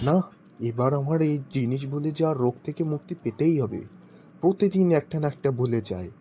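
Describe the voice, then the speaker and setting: A man's voice: a little high, animated, a little fast, warm tone, a little hiss or hum, close to an everyday voice. A man playing an aggrieved, complaining younger man in a cartoon joke skit. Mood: fretful resolve.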